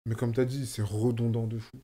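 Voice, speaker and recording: A man's voice: mid-range voice, little pitch movement, normal speed, mellow, breathy edge. A man from France, a rapper, talking in a casual conversation and stressing points by repeating phrases. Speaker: rapper, guest. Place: lives in Oslo.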